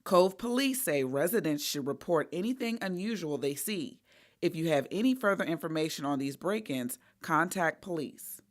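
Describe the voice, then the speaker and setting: A woman's voice: sits low, lively intonation, moderate pace, dry recording. A woman working as a local television reporter, reading scripted news in a broadcast reporting style.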